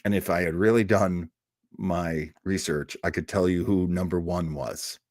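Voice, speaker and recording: A man's voice: low voice, fairly even intonation, a little slow, darkish tone, no room sound, studio quality. A man hosting a live online listening party, rambling casually with self-deprecating jokes and digressions. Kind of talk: casual rambling livestream introduction.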